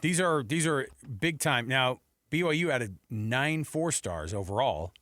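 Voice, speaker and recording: A man's voice: mid-range voice, expressive, unhurried, fairly bright tone, dry recording. Informal American sports radio man, dry sound. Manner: informal, rambling, conversational asides. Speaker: sports talk show co-host. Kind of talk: conversational sports talk.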